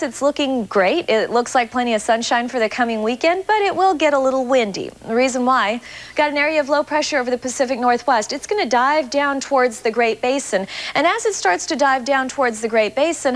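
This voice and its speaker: A woman with a fairly high voice presents the weather on television. She explains the forecast in an informal, conversational way, as a studio anchor would.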